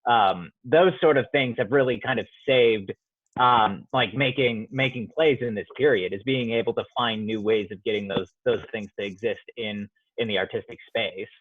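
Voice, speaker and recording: Young male interviewee, thoughtful and halting, feeling out each phrase; close dry sound, somewhat lo-fi. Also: on the low side, husky, dry recording. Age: young adult. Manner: halting, searching for words, frequent "like". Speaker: student playwright being interviewed. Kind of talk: reflective interview talk.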